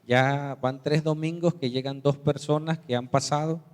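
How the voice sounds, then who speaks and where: A man's voice: fairly even intonation, normal speed, darkish tone. A man preaching a sermon as pastor, telling stories and acting out the voices of the people in them. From Mexico. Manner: storytelling, acts out quoted voices with big pitch jumps. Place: church sanctuary. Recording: reverberant church hall.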